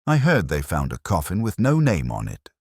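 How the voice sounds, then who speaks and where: Man voicing gossipy old village pensioners, deep. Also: huge pitch swings, normal speed, a little mellow, husky, no room sound, clean studio sound, full character voice. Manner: gossiping, speculating.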